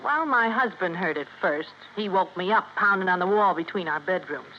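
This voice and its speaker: A woman's voice: on the low side, lively intonation, moderate pace, lightly acted. A woman with an American accent playing a brassy young superintendent's wife in an old radio drama, scornful and colloquial. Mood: recounting, guarded. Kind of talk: golden-age American radio drama acting. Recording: muffled old radio recording, faint hiss.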